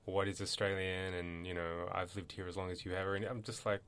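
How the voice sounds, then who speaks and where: A man's voice: low voice, level tone, fairly quick, fairly bright tone, clean tone. A middle-aged man from Bangladesh with a mixed accent, speaking candidly and reflectively in a panel discussion.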